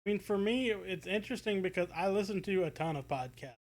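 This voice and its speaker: A man's voice: high voice, quick pace. An American man co-hosting a podcast in casual discussion, feeling his way through his thoughts in hesitant, circling phrases. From United States. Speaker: podcast co-host.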